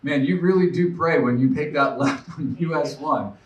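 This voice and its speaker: A man's voice: on the high side, moderate pace, far from the mic, lo-fi sound. A man in his forties speaks earnestly at a campaign fundraiser, thinking aloud with plenty of fillers and restarts. He stands a little way off from the microphone, and the room gives his voice a roomy echo. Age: 40s. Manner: earnest, off-the-cuff with many fillers. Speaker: political candidate. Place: room at a live event.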